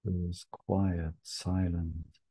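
A man leading a guided meditation as a teacher, speaking gently in short phrases with long pauses. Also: deep voice, flat delivery, husky, dry recording.

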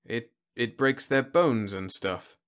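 A man's voice: medium pitch, slow, fairly bright tone, smooth. A man voicing a meek, bewildered government secretary in an audiobook story, hesitant, stammering and easily cowed. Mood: puzzled. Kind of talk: audiobook character acting.

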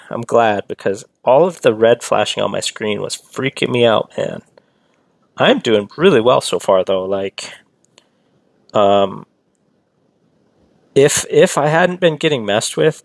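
Gravelly-voiced man chatting on stream. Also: medium pitch, no echo. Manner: chatty, reads and answers chat, rambling asides. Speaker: game streamer. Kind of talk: casual live-stream commentary.

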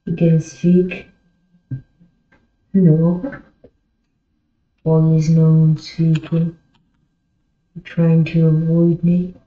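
Phone-like, slow-talking woman in game chat. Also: low-pitched, flat delivery, warm tone, roomy sound. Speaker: online game player.

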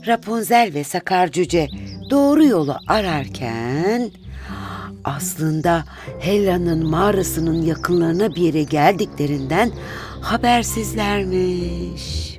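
Slow, expressive woman storyteller. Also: on the low side. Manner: expressive, deliberate. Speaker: storyteller, narrator. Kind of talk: scripted children's fairy-tale narration. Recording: music behind the voice.

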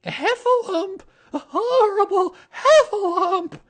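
Panicky, squeaky little piglet, extremely high. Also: extremely slow, full character voice. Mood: terrified. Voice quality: squeaky, strained. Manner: stammering, frantic.

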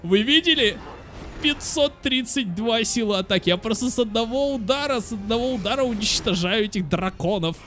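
A young man giving casual, animated let's play commentary for children, exclaiming and thinking aloud as he goes. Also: very high-pitched, moderate pace. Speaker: host of children's gaming channel. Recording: music behind. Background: game music.

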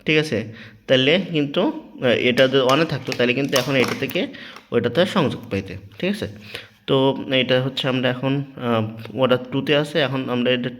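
A man's voice: medium pitch, a bit bright. A man with a Bangladeshi accent giving an informal hands-on tutorial, explaining step by step, repeating himself and often checking that listeners follow. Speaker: product demonstrator. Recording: noticeable background noise, small clicks.

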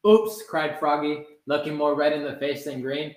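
Slow, higher-voiced man reading a storybook. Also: flat delivery. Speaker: soccer goalkeeper reading a children's story. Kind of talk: animated read-aloud storytime. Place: indoor room with blinds, sitting. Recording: roomy echo.

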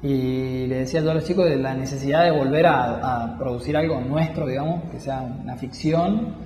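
A man's voice: mid-range voice, moderate pace, darkish tone, reverberant, long reverb tail, faint music behind. A young man, a filmmaker, talking about his work in an interview with many restarts and fillers. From Misiones, Argentina. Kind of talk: interview talk.

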